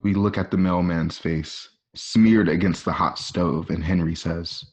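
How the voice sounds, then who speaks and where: A man's voice: deep voice, steady tone, measured pace. A man reading screenplay stage directions aloud as the narrator of a radio play. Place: at home.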